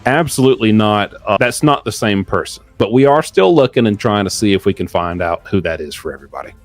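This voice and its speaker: A man with a fairly low voice gives casual commentary as a show guest. He speaks emphatically and with animation, with faint music behind him. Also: animated, fast, no echo.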